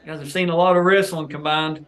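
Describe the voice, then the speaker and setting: A man's voice: on the high side, fairly even intonation, fast talker, fairly bright tone. A man hosting a match review, walking new wrestling officials through the footage with an explanatory, coaching tone. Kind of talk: instructional match breakdown for officials.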